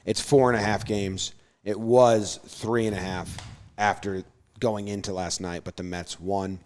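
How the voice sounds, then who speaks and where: A man's voice: on the low side, steady tone, a little slow, darkish tone. A young man co-hosting a casual sports show, teasing his friends and working through standings aloud. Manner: teasing, thinks aloud with restarts. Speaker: podcast co-host.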